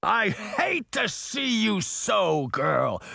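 Fierce storybook guardian, high and forceful, slow vehement roar. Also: male voice, wildly swooping pitch, gravelly, no room sound, clean studio sound, heavily acted. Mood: anguished fury.

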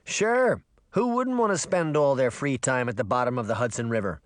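A man voicing a sharp-tongued, skeptical, practical girl in a storybook, challenging everything with pointed questions. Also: medium pitch, lively intonation, moderate pace, no room sound, clean studio sound, natural voice. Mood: sarcastic.

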